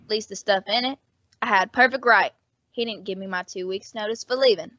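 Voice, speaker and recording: A woman's voice: medium pitch, brisk, bright, forceful tone, no echo, close to an everyday voice. A woman playing a sly, brash, grasping rooming-house landlady with slangy talk in an old-time radio drama. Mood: cagey. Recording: dull, dry lo-fi audio.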